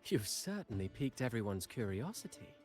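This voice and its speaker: A man's voice: mid-range voice, sing-song, darkish tone, lightly acted. A man voicing a suave, slyly courteous playwright in a video game, speaking in a refined, theatrical manner over music. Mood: probing. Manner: theatrical, refined, measured, teasing. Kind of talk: dramatic scripted game dialogue.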